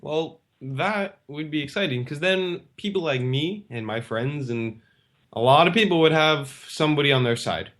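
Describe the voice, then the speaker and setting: A man's voice: on the high side, normal speed, fairly bright tone. A man hosting a radio talk show, asking loose, rambling questions in a casual conversational way. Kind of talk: casual interview chat.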